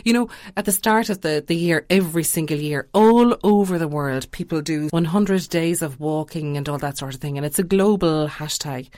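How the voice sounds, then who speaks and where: A woman's voice: sits low, normal speed, hardly any pauses, a little mellow, no echo, studio quality. An Irish woman chatting enthusiastically as a radio interviewer.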